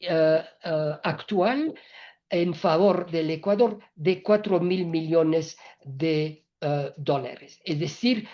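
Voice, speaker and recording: A woman speaking Spanish as a second language at a trade panel, halting with frequent filler sounds, restarts and small grammar slips. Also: sits low, warm tone, muffled recording. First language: not Spanish. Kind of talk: hesitant panel talk on trade policy.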